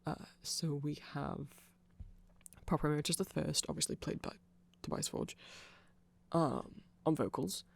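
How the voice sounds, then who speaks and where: Creaky-voiced ASMR rambler, sex unclear. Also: mid-range voice, lively intonation, measured pace. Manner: nerdy, self-deprecating, digressive. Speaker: ASMR creator explaining band lore. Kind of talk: casual rambling ASMR explainer.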